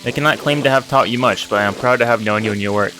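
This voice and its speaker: A man plays a kindly old monk from a narrative game. He is fatherly and humble, speaking in a measured, reassuring way over clearly audible music. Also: medium pitch, natural voice. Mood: proud, humble. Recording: music under the voice.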